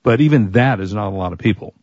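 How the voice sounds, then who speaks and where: A man co-hosts a relaxed tech talk show with a husky voice that is starting to give out. His tone is mellow and dark. The recording is dry and a little dull. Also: lowish voice, quick pace, dry recording. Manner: chatty, offers product rundowns and opinions. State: losing his voice. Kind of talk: casual tech chat.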